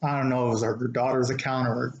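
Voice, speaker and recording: A man's voice: medium pitch, little pitch movement, fast talker, vocal fry, muffled recording. An American man telling casual anecdotes as a co-host in a conversational, somewhat hesitant manner. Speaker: podcast co-host telling stories. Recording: roomy echo with background noise.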